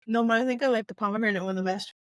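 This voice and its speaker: A woman's voice: on the high side, racing, fairly bright tone, dry recording, studio quality. A woman co-hosting a podcast, chatting casually about books in a conversational style. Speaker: podcast co-host. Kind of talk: casual book discussion chat.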